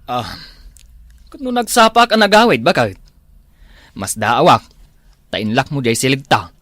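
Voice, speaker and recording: Slow-talking sheepish husband, played by a man. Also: mid-range voice, lively intonation, fairly bright tone, dry recording, clean studio sound. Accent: northern Philippine. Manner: hesitant, evasive, placating. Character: sheepish, lazy, hard-drinking husband with excuses. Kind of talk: comedy drama acting.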